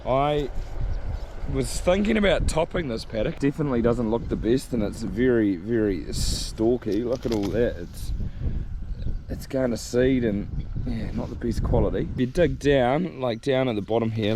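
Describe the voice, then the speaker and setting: Male farmer vlogger, hoarse and losing his voice, loose rambling chat outdoors, faint background hiss. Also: medium pitch, moderate pace. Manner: relaxed, rambling, thinking aloud. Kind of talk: casual chat to camera. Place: outdoors on a farm paddock.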